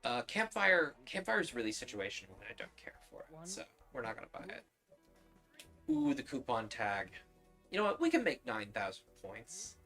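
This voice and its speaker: Bright-voiced man casually commentating on a game. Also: mid-range voice, very animated, normal speed. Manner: explanatory, thinking aloud. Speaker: game streamer. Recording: music and radio drama behind.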